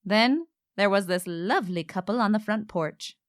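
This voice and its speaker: A woman's voice: mid-range voice, moderate pace, slight background noise, natural voice. An actress playing a wry, exasperated single woman homeowner, narrating and acting out her romantic mishaps in a radio play.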